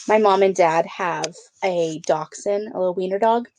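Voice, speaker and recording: A woman's voice: lowish voice, moderate pace, a little mellow, slight background noise. A woman teacher reading a picture book aloud, adding conversational explanations and check-in questions for children.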